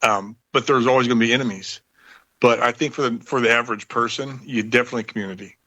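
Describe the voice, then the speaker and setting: A man in casual conversation as a show guest, speaking in a halting way. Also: medium pitch, pushed and bright, lo-fi sound. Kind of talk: casual chat.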